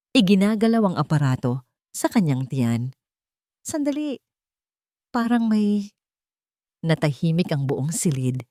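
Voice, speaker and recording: A woman's voice: deep voice, warm tone, no room sound, studio quality. A woman reading a scripted romantic story as a dramatic narrator. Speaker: narrator of romance audio stories.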